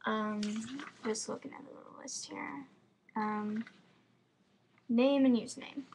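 Slow, gravelly teen American girl. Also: fairly high pitch, darkish tone, slight background noise, poor audio. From Florida, United States. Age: teens. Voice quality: slight lisp. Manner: quick, chatty, rambling with many fillers. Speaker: fan vlogger. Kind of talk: casual webcam chat. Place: home room with bookshelves, indoors. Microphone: built-in computer webcam mic.

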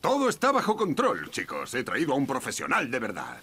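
A man's voice: medium pitch, wildly swooping pitch, brisk, heavy background noise. A man with a Castilian Spanish accent voicing a grumpy, self-important dung beetle in a children's cartoon, with a pompous, indignant manner. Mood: pompous, official. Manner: pompous, indignant, formal speech-making. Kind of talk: animated children's cartoon dub.

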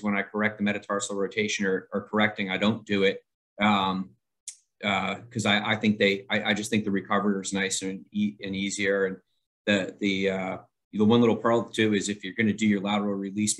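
A man on a video call, a surgeon explaining his procedure step by step in a brisk, practical way with many ums. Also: lowish voice, a bit bright. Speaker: surgeon panelist. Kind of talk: clinical explanation. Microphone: video call webcam.

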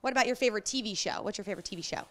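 A woman's voice: mid-range voice, rapid-fire, fairly bright tone. A young adult woman interviewer asking prepared questions. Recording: audible ambient building noise.

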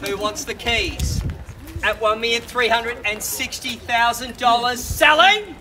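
A man with an Australian accent working as an auctioneer, calling bids at a live property auction on a suburban driveway outdoors and pressing the bidders to act quickly. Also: sits high, heavy background noise. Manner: brisk, persuasive, pushing bidders to act.

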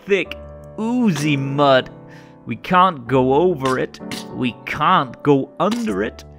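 A man reading a children's story aloud in a theatrical, rhythmic and expressive way. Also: a little high, takes it slowly, creaky. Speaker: storybook narrator. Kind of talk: animated children's read-aloud. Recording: music under the voice. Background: music.

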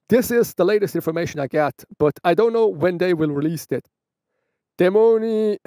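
A man's voice: fairly high pitch, a little mellow, no echo. Chatty Swiss German man outdoors. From Switzerland. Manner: chatty, restarts phrases, laughs often. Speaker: motovlogger. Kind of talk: casual Q&A vlog. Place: outdoors by a roadside bench.

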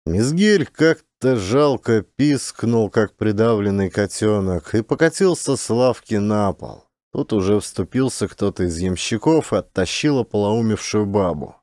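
A man's voice: on the low side, animated, a little slow, hardly any pauses, darkish tone, dry recording, studio quality. A man reading a scripted literary story as an audiobook narrator.

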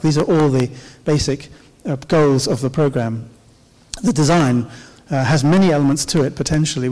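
Middle-aged male keynote speaker, warm mellow tone, measured delivery, handheld mic in a big reverberant hall. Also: medium pitch. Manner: measured, explanatory, occasional "uh" pauses. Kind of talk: conference keynote talk. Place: large dark auditorium stage. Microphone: handheld microphone.

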